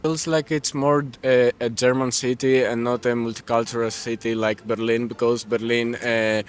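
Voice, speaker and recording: A man answering a street interview in a measured way with filler pauses. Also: mid-range voice, little pitch movement, clean tone.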